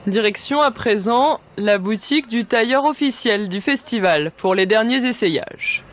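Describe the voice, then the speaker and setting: A woman's voice: a little high, animated, unhurried, slight background noise. Woman narrating a documentary. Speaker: narrator and reporter.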